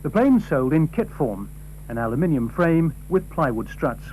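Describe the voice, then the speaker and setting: A man's voice: fairly high pitch, sing-song, normal speed, darkish tone. A man narrating a scripted television news feature as a reporter. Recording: noisy old TV broadcast, faint hum.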